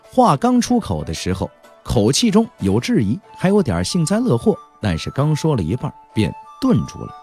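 A man's voice: medium pitch, huge pitch swings, normal speed, darkish tone. A man narrating a fantasy novel in a theatrical storyteller's manner, with dramatic emphasis. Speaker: audiobook narrator. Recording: narration over faint background music.

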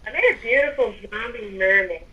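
A woman's voice: fairly high pitch, fairly quick, bright, forceful tone, distant mic, dull audio. A young woman playing a character in a game roleplay, chatting playfully and rambling. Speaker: roleplay player. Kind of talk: casual in-character roleplay chat.

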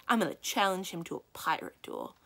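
A woman's voice: fairly low pitch, expressive, a little fast, vocal fry, a bit bright, exaggerated voice. A woman voicing a protective, feisty young boy in a storybook, plain-spoken and earnest. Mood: defiant, consoling. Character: protective, feisty young boy, big brother playing pirate.

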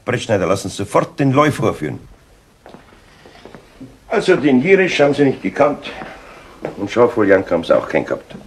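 Police inspector: Bavarian, blunt, authoritative, lively pitch swings, 1970s radio drama with background noise. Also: male voice. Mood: accusing, firm. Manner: blunt, interrogating, deliberate emphasis. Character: authoritative male Munich police inspector, brusque and persistent. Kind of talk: 1970s German radio crime drama acting. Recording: noisy 1970s radio play recording.

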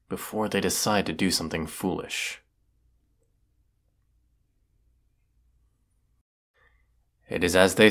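A man playing a brooding villainous wizard in a fantasy audio roleplay, stern and formal in his deliberate delivery. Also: deep voice, gravelly, fairly bright tone, heavily acted. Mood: cold, menacing. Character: brooding villainous wizard of the woods, secretly lovelorn.

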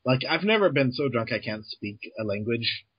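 A man's voice: medium pitch, sing-song, moderate pace, husky, no echo. A man chatting casually on a podcast, laughing often and joking along. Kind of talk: casual chat. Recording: dull lo-fi dry podcast mic.

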